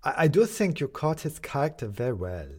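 Man doing a polite soft-spoken lady, gentle. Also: extremely low pitch, big pitch swings, brisk, slightly rough, pitched above the performer's own voice, full character voice.

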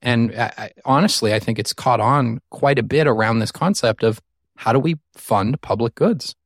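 A man's voice: medium pitch, normal speed, no echo. Reflective man sharing his views, dry sound. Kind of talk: interview discussion.

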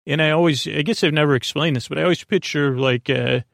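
A man with a creaky voice hosting a bedtime podcast, meandering gently and hesitantly, often interrupting himself in a soothing way. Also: on the high side, fast, no echo, studio quality. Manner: soothing, gentle, soft-spoken. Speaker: podcast host. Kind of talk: rambling bedtime podcast monologue.

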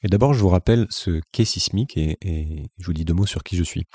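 A man's voice: low-pitched, a little fast, dark tone, breathy edge, no echo, studio quality. A forty-year-old man from the Paris region with a Parisian French accent, speaking as a podcast host in a conversational, reflective solo monologue with a self-effacing manner. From France, Paris region.

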